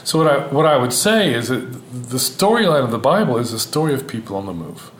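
A man from Houston, an Old Testament scholar, talking as a podcast guest in a thoughtful, measured way with frequent fillers. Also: medium pitch, expressive. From Houston, Texas, United States. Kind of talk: podcast interview. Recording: roomy sound with faint ambient noise.

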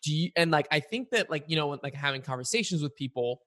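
A man's voice: a little high, lively intonation, quick pace, fairly bright tone, no echo. Male podcast host, casual and chatty, dry close sound. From Bulgaria. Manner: chatty, many fillers. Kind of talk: casual interview chat.

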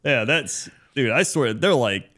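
A man's voice: medium pitch, animated, unhurried, pushed and bright. A man co-hosting a podcast in casual chat, telling a laid-back story and mimicking people. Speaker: podcast co-host.

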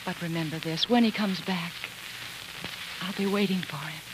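A woman's voice: lowish voice, moderate pace, heavy background noise, lightly acted. A woman acting a headstrong, devoted young American wife in a 1940s radio drama, with earnest, emphatic theatrical delivery. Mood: defiant. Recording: 1940s radio broadcast with music.

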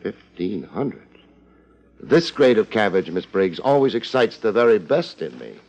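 An American actor playing a laid-back, wisecracking private investigator in a 1950s radio drama, dry and unhurried. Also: male voice, fairly low pitch, very animated. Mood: wry, laid-back. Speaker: lead actor and first-person narrator. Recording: dull, noisy old radio recording.

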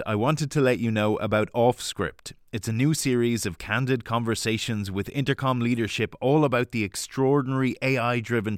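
A man's voice: mid-range voice, clean tone, dry recording, clean studio sound. A man hosting a podcast, reading a scripted announcement to listeners. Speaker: podcast host.

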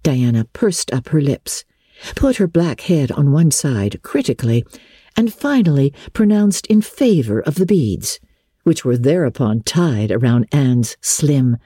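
A woman's voice: a bass voice, sing-song, unhurried, mellow, slightly rough, dry recording, clean studio sound. A woman narrating classic literature as a dramatic, scripted story reading. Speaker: audiobook narrator.

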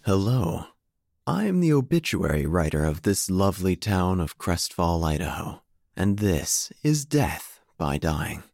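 An actor playing an eccentric small-town obituary writer, narrating an audio drama in a solemn, deadpan, theatrical way. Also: male voice, low-pitched, a little slow, creaky, no echo, studio quality, soft background music, full character voice.

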